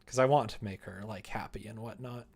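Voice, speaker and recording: A man's voice: fairly low pitch, fairly even intonation, brisk, gravelly. A man chatting casually on a livestream while he works, explaining things in a rambling way and sometimes muttering to himself. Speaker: streamer developing a visual novel.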